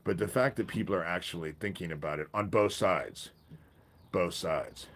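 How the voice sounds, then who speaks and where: Deep-voiced man, congested from a cold. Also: a bit bright. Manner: rambling, pauses, self-interrupting. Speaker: livestream host. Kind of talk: casual livestream chat. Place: back porch outdoors.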